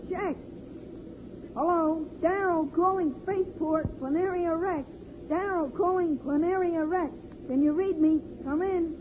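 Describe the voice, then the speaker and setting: A woman playing an eager, excitable young boy pilot in a 1950s radio serial. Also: very high voice, a little slow. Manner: excitable, boyish exclamations. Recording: scratchy, tinny old radio serial recording.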